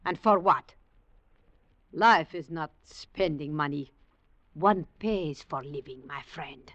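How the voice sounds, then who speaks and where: A woman's voice: deep voice, takes it slowly, a bit bright, dry recording, muffled recording. An actress playing a fierce, weary, defiant woman of a port underworld in a 1940s radio drama, theatrical and commanding. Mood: bitter, weary. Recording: restored 1940s radio broadcast, audible noise.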